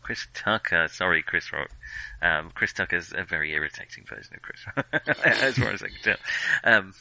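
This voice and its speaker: Low-pitched, bright-voiced man chatting. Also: animated, moderate pace, creaky, no room sound, rough recording. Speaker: film discussion podcast co-host. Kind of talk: casual chat.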